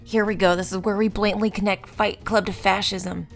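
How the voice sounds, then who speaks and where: A woman's voice: mid-range voice, little pitch movement, fairly quick, gravelly, faint music behind. A young woman hosting a scripted film-analysis video essay, narrating with wry, sarcastic asides.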